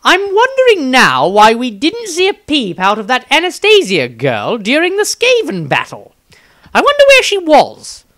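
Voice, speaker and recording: A man voicing an excitable, cynical male adventurer musing theatrically in a storybook diary. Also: very high voice, normal speed, no room sound, studio quality, voice pushed up, heavily acted. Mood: musing, dramatic. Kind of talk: dramatic storybook reading.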